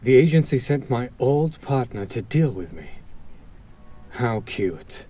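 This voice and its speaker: Casting: male actor for a bitter hero-turned-villain in an audio drama, mid-range voice, slow deliberate delivery, sardonic and protective. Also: medium pitch, big pitch swings, dark tone, husky, heavily acted. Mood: mocking, sardonic. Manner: deliberate, sardonic, theatrical, with long pauses. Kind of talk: dramatic audio roleplay monologue addressed to listener.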